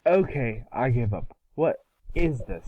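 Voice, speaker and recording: A man playing a sulky, animated cartoon boy. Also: medium pitch, measured pace, narrow, tinny audio, a little hiss or hum. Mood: grumbling. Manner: sarcastic, grumbling. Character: sulky, excitable boy on summer vacation.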